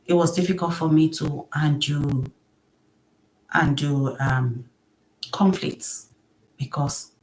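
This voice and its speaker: A woman with a soft voice, earnestly telling an emotional personal story as a podcast host. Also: sits low, rough recording. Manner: earnest, emotional, acts out quoted speech.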